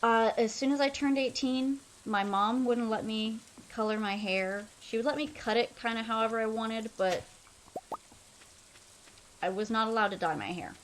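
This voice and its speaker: A woman's voice: on the high side, normal speed, slight background noise, low-quality recording. A young woman streaming a game, chatting casually in a loose, rambling way full of fillers. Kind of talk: casual chat while playing a game.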